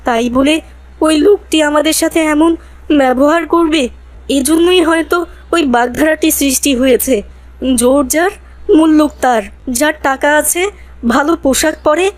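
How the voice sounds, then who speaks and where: Woman voicing an earnest high-pitched young cartoon boy. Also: close to an everyday voice. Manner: pleading, earnest. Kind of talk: cartoon voice acting.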